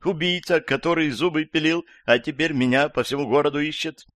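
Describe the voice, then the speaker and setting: A man's voice: medium pitch, expressive, fairly quick, fairly bright tone, no echo, studio quality, pitched above the performer's own voice, lightly acted. A man voicing a frightened, frantic elderly woman in an audiobook, crying out in panic. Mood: frantic pleading. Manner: panicky, breathless rattling outcries.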